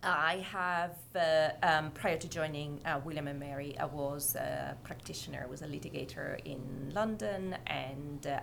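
A woman's voice: low-pitched, vocal fry, noisy background. A woman who is a visiting assistant law professor, speaking with frequent um fillers on a podcast. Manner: hesitant with um fillers, light. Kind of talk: podcast interview.

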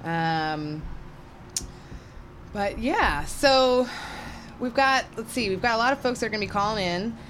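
A woman hosting a local radio show, friendly and a little flustered, with many fillers and quick apologies. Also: on the high side, expressive, fairly bright tone, slight background noise. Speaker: radio host, planning and policy director. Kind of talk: casual radio chat.

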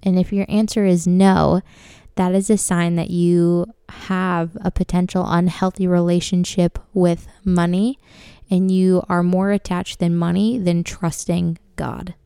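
A young woman hosting her own podcast, talking casually about faith, money and her business goals, with a voice gone dry and raspy. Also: on the low side, level tone, measured pace, dark tone, no room sound. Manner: chatty, laughs often, frequent ums. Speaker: podcast host.

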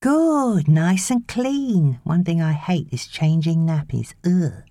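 A woman's voice: fairly low pitch, expressive, takes it slowly, mellow, no room sound, clean studio sound, voice pushed up. A woman voicing a cheery, playful young British woman in an audiobook, cooing chattily to a baby. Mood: chirpy fussing. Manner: cooing, chirpy, colloquial British.

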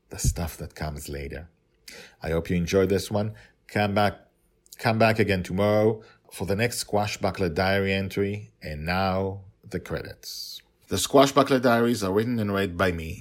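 A man with a low voice hosts and narrates a storybook podcast. His phrasing is deliberate and emphatic, as a writer presenting his own tales.

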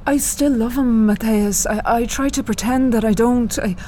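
An actress playing a lovelorn, haunted middle-aged psychologist in a radio drama, halting and confessional. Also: female voice, fairly high pitch, steady tone, dark tone, slight background noise, close to an everyday voice. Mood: wistful, aching.

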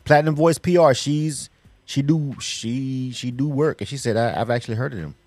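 A man's voice: medium pitch, normal speed, darkish tone, no room sound. A middle-aged man from Florida hosting a radio interview, loose and slangy, rambling and peppering his talk with casual asides. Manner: loose, slangy, rambling with frequent "you know what I'm saying". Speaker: radio host.

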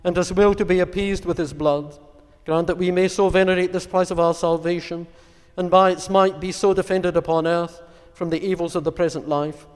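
A man with a fairly high-pitched voice speaks as a priest in a large, reverberant space. His delivery is solemn and reverent, in measured devotional phrases. Long reverb trails behind his words. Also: flat delivery, clean tone. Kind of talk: solemn sermon and recited litany prayers.

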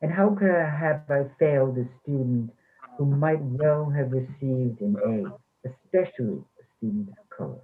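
A man's voice: medium pitch, lively intonation, normal speed, narrow, tinny audio, slight background noise, natural voice. Phone-thin male actor as defensive English instructor. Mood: wistful, regretful. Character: defensive, haunted former English instructor, later retired. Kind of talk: readers theatre play reading.

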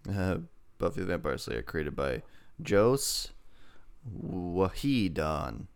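Deep-voiced man with a gravelly edge. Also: very animated, drawn-out words. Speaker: podcast co-host. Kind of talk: casual TV episode review chat.

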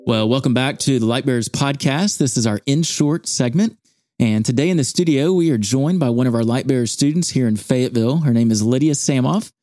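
Mellow-voiced man hosting a ministry podcast. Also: medium pitch, dry recording, clean studio sound. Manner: measured, with earnest churchy phrasing. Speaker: podcast host, campus ministry staff. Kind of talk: studio podcast interview. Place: studio.

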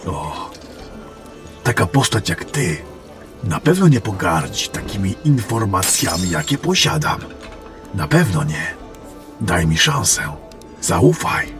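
A man's voice: low voice, big pitch swings, takes it slowly, long pauses, full character voice. A man playing a mysterious, sly stranger in a fantasy audio drama, insinuating and smug. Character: mysterious, sly stranger trading secret information. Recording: music under the voice. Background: music.

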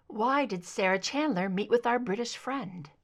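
A woman voicing a skeptical, guarded female investigator in an audiobook, measured and matter-of-fact. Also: medium pitch, natural voice. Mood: skeptical, firm. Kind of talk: fan fiction audiobook dialogue.

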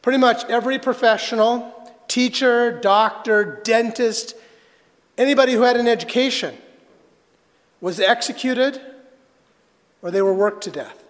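A man preaching a sermon as a pastor, telling a personal story earnestly and haltingly, with dramatic pauses. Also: very high-pitched. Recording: reverberant hall.

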